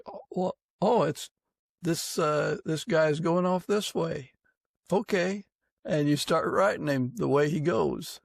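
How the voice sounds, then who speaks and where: A man hosts a conversational podcast interview in a slightly high voice with a strong gravelly creak. He puts questions to his guest and adds his own thoughts. The recording is dry and free of room sound. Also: dry recording. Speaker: podcast host.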